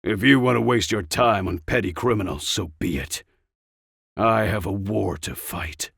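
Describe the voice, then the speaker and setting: Audiobook casting: hardened older male soldier acted by a male reader, gruff, insistent, gravelly low voice. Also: unhurried, a bit bright, no echo, clean studio sound, exaggerated voice. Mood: heated, resolute.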